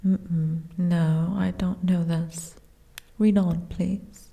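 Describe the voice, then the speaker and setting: Giantess princess for fantasy ASMR, played by a woman: dark mellow tone, leisurely pace, formal and gently weary. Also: lowish voice, little pitch movement, extremely slow, gravelly, full character voice. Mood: drowsy, hushed. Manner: formal, genteel, old-fashioned diction.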